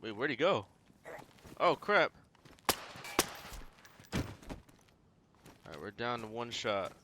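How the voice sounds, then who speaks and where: A man's voice: medium pitch, big pitch swings, extremely slow, noisy background. A man streaming a video game, giving casual running commentary and often muttering to himself as he plays. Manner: muttering to himself while playing.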